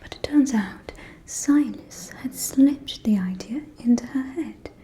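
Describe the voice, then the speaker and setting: Woman acting as a gossipy vampire lady, breathy whisper, fairly high voice, unhurried, arch, confiding, ASMR roleplay. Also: dark tone. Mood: warning, intrigued. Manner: slow, confiding, arch, drawling. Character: gossiping, catty aristocratic vampire lady at a ball.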